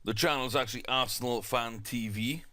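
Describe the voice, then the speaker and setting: A man's voice: medium pitch, normal speed, pushed and bright, no room sound, clean studio sound. A young man with a South Welsh accent, chatting casually through a football management game with lots of fillers and restarts. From South Wales. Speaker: gaming YouTuber.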